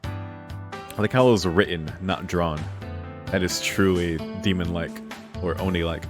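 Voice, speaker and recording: A man's voice: low voice, steady tone, measured pace. A man streaming a visual novel, reading the text aloud in a casual way and reacting with incredulous, jokey swearing. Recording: music playing behind the voice. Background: guitar music of a game soundtrack.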